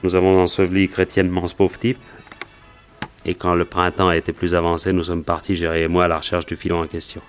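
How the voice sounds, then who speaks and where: An actor as a deep-voiced, plain-spoken cowboy prospector. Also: male voice, monotone, quick pace, soft background music, natural voice. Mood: recounting earnestly. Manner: colloquial, storytelling delivery. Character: male cowboy and gold prospector, plain-spoken, wary, recounting his story. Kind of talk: French radio detective drama acting.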